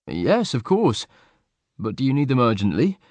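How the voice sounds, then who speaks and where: A man doing an eager, high-voiced friend. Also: very animated, normal speed, no room sound, close to an everyday voice. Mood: friendly. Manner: bright, eager. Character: cheerful, eager young male friend, higher-voiced.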